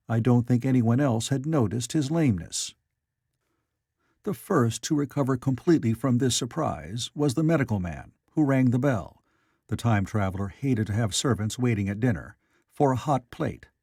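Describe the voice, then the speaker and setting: A man's voice: mid-range voice, vocal fry, dark tone, slightly rough, dry recording, studio quality. A man reading classic literary fiction aloud as a scripted audiobook narrator.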